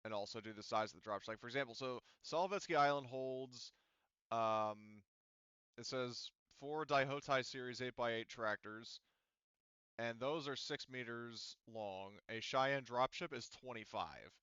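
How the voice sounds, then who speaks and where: A man's voice: medium pitch, measured pace, fairly bright tone, clear, resonant tone, dry recording, muffled recording. A man acting as game master, explaining the setting in a rambling, casual way with many fillers. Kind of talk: casual tabletop game narration.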